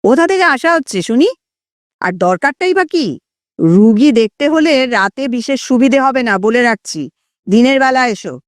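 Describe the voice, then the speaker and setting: Woman as an irritable male village healer, high-voiced. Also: expressive, normal speed, no room sound, clean studio sound, natural voice. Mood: brusque, wary. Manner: snappish, questioning.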